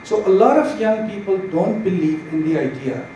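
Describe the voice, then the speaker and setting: A man's voice: sits high, animated, normal speed, dull audio. A man on a conference panel giving a spontaneous explanatory answer. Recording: very echoey, distant hall mic, noisy.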